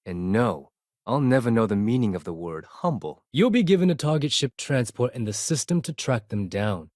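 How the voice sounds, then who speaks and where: A man's voice: medium pitch, no echo, lightly acted. A man voicing an arrogant space pirate accountant and hacker for a video game, talking with dry, condescending sarcasm in clipped phrases. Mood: smug. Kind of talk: scripted video game character dialogue.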